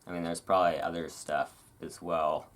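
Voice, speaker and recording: A host doing a relaxed ASMR-style reading aloud, breaking off into informal, musing asides. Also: sits low, moderate pace. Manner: informal, chatty, musing asides between read passages.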